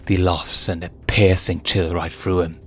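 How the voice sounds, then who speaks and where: A man's voice: low voice, flat delivery, a little slow. A man reading a story aloud as a narrator, in a dramatic, scripted storytelling style. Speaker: fan fiction reader and narrator. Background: music.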